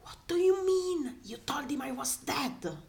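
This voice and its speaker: A woman's voice: high voice, big pitch swings, a little slow, a little mellow, voice pushed up, full character voice. A woman voicing an indignant, sarcastic male undercover mentor in a space adventure story, biting and exasperated. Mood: aghast.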